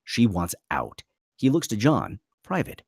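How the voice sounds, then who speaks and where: A man's voice: lowish voice, wildly swooping pitch, a little slow, darkish tone, slightly rough, no room sound, clean studio sound. A man narrating a screenplay dramatically at a table read.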